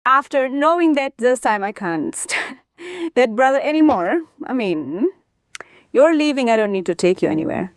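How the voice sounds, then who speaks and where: Female interview guest, high voice, dry close sound. Emphatic personal storyteller who repeats phrases for weight. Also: expressive, normal speed, no echo. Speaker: interview guest, former sprinter.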